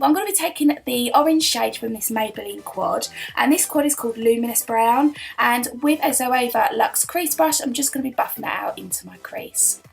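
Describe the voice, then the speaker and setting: A young woman, hoarse from a cold, chatting her way through a makeup tutorial voice-over. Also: fairly high pitch. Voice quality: croaky. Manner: chatty, rambling, lots of "um" and "I'm gonna be". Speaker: beauty vlogger.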